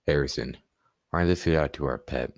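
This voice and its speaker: A man playing a calm undercover police sergeant in a student radio play. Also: extremely low pitch, moderate pace, no room sound, clean studio sound. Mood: calm, in charge.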